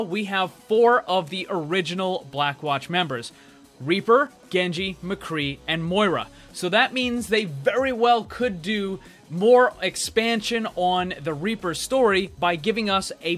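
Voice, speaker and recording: A man's voice: high voice, a bit bright. A man giving casual, conversational commentary as a video game news host, explaining his theories directly to viewers. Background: background music.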